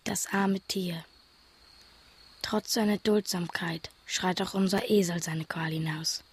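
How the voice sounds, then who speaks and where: Breathy, melancholy woman wanderer. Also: lowish voice, steady tone, slow pace, heavily acted. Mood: sorrowful. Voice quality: breathy, half-whispered. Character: melancholy, exhausted wanderer oppressed by heat. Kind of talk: radio play acting, inner monologue.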